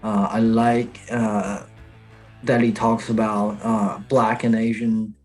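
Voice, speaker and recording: A man from China with a Chinese accent, a guest comedian chatting casually and telling stories with many pauses and fillers. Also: fairly low pitch, soft background music, poor audio. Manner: hesitant, many fillers, animated storytelling. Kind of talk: casual chat.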